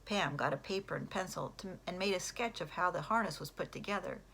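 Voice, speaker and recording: A woman reading a children's storybook aloud like a teacher, in an expressive way that acts out the characters. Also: fairly low pitch, a little fast, creaky, slight background noise, rough recording. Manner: animated, acts out character voices.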